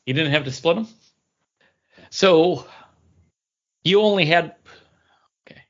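A man with a slightly high voice chats casually as a podcast co-host. He rambles playfully from point to point. The audio is a bit dull and lo-fi. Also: slow. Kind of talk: casual chat.

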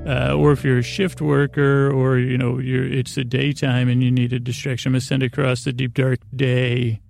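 A man hosting a sleep podcast in a creaky, lulling voice, rambling along in a meandering, halting bedtime monologue that often interrupts itself. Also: mid-range voice, level tone, a little mellow, soft background music. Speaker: podcast host.

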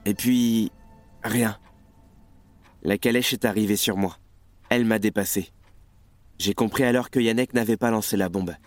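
An actor playing an anguished, ashamed young revolutionary in a French audio drama, intense and confessional. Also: male voice, medium pitch, close to an everyday voice. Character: anguished, fearful young male revolutionary ashamed of his fear. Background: music.

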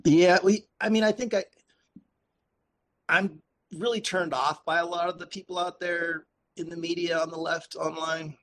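A man speaking casually and earnestly as an interview guest, thinking aloud in a halting way. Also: a little high, fairly even intonation, fairly bright tone, slight background noise. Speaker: podcast guest, activist and author. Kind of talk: casual conversational interview answers.

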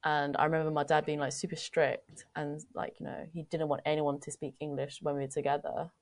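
A woman with a notably low voice and a heavy gravelly fry, talking in a relaxed chat. She speaks thoughtfully and sums up what others say. Vietnamese was her first language. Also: fairly quick. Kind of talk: casual chat.

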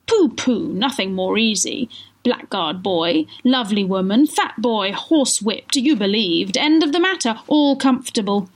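Woman playing a glib theatrical gentleman rogue. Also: medium pitch, expressive, measured pace. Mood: cool, persuasive. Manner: clipped telegraphic bursts, theatrical. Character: glib, unabashed, scheming melodramatic gentleman rogue. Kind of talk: literary audiobook character reading.

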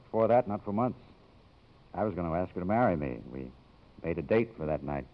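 An actor with an American accent playing a brash, sardonic photographer and jilted suitor in a 1950s radio drama. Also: male voice, low-pitched, animated, brisk. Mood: wry, wistful. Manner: sardonic, self-assured. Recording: dull vintage 1950s radio broadcast.

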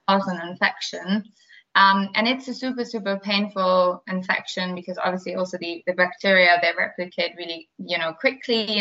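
A woman from Germany speaks with a German accent, explaining in a bright, slightly low voice. She repeats words and uses fillers as she goes. The recording sounds muffled and lo-fi. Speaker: guest, biotech founder. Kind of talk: interview answers.

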